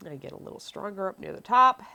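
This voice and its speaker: A woman's voice: medium pitch, lively intonation, fast talker, vocal fry, sharp tone, lo-fi sound. A sniffly young woman, stuffy from allergies, giving a chatty live painting tutorial and answering chat questions. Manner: chatty, reads chat questions aloud, frequent ums. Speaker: miniature painting instructor.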